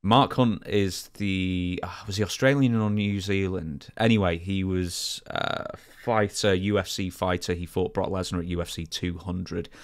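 A man's voice: low-pitched, steady tone, normal speed, few pauses. A man co-hosting a podcast, talking casually through sports news and explaining it. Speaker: podcast co-host.

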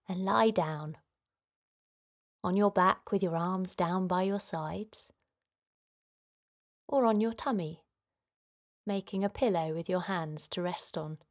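A woman leading a guided relaxation for children in a gentle, soothing manner, giving simple instructions with pauses. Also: on the low side. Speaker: children's guided meditation host.

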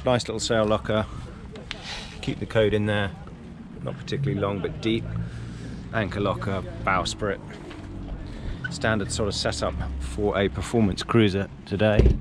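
A man's voice: on the low side, flat delivery, moderate pace, noisy background. A man presenting a casual walk-through boat review in clipped, fragmentary phrases, recorded on a handheld action camera. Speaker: presenter reviewing a sailing yacht.

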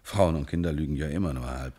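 An actor playing a calm, probing Paris police commissioner in a German radio crime drama, speaking with measured deliberation. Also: male voice, extremely low pitch, husky.

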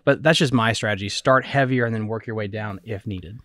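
A man's voice: lowish voice, fairly quick, a bit bright, no echo, studio quality. A young adult man hosting a podcast, losing his voice a little, asking eager questions in casual chat. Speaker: podcast host. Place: small office studio, indoors. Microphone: desk podcast mic on boom arm.

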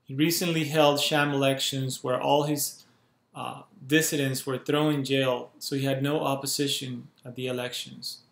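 A man in his thirties from Cuba makes a heartfelt appeal straight into a webcam. He speaks in measured, urgent phrases at a middle pitch. The small room at home gives his voice a noticeable echo. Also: flat delivery, vocal fry, fairly bright tone. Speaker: activist making an appeal. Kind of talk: earnest direct-to-camera appeal. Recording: roomy echo from a bare room.

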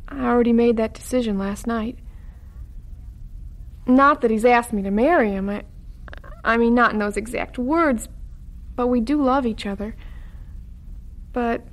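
Earnest young daughter, higher voice. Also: female voice, measured pace, long pauses. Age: young adult. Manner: hesitant, sincere. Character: earnest, devout young woman, hesitant and dutiful.

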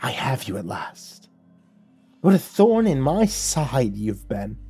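A man voicing a giant scornful serpent devil that hisses its words slowly and low. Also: very animated, mellow, slightly rough, soft background music, heavily acted. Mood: gloating. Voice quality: hissing, low, drawn-out. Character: hissing serpent devil, huge, scornful, commanding.

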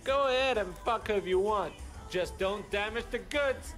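An actor playing a sleazy, crude and boastful crime boss in a video game cutscene. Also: male voice, high-pitched, moderate pace, music in the background. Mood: smug, bossy.

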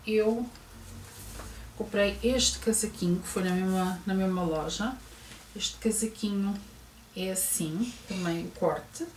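A middle-aged woman with a European Portuguese accent talks slowly through a clothing haul, full of hesitations and little asides to her viewers. She is picked up by a camera across a bedroom, so her voice sounds distant and roomy. A faint hiss sits under it. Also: mid-range voice, a little mellow. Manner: chatty, hesitant, many fillers, addresses viewers. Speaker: fashion vlogger. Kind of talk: casual clothing haul show-and-tell. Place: bedroom at home, indoors. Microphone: camera across the room.

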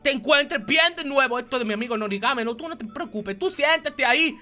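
A man's voice: lively intonation, brisk, soft background music, heavily acted. A man voicing a goofy, dreamy stoner with a drawl in an improvised comic video game roleplay. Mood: chummy. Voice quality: high-pitched, bright. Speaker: gaming roleplay host.

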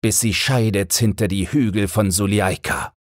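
A man's voice: on the low side, steady tone, normal speed, no room sound, clean studio sound, voice pushed up, lightly acted. A man voicing a fearsome, wrathful robber chief in a storybook, commanding, menacing and formal. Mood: wrathful menace.

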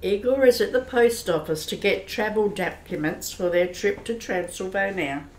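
Female narrator for an old-time radio play, medium pitch, scripted storytelling. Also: normal speed, poor audio.

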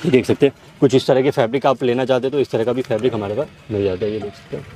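A young man walks a camera through stacks of cloth in a warehouse. He talks very fast, reeling off fabric types in steady salesman patter, at an ordinary pitch for a man. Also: slight background noise. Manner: rapid salesman patter listing items. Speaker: fabric seller. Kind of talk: product showcase walkthrough.

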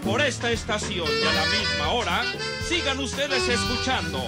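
A male announcer speaks in a very high, declamatory voice, presenting a radio serial in classic broadcast style. Loud theme music plays underneath. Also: lively intonation, normal speed.

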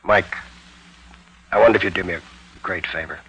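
A brooding, bitter killer in a vintage radio crime play. His voice is fairly low, with a hard, bright edge. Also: normal speed, slightly rough, full character voice, poor audio. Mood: resigned, subdued. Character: bitter, brooding husband turned condemned killer. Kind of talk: 1940s radio acting.

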